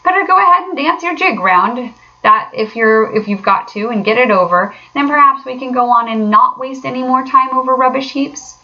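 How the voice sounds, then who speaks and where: A woman's voice: sits high, moderate pace, highs cut off, pitched above the performer's own voice. A woman voicing a miserable, grumbling male mole in a storybook, with plaintive and sarcastic delivery. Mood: pettish, sarcastic.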